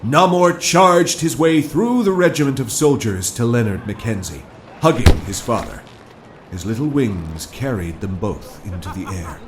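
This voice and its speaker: A man's voice: mid-range voice, lively intonation, measured pace, background noise, natural voice. A man narrating a cinematic audio drama in a dramatic, scripted storytelling style.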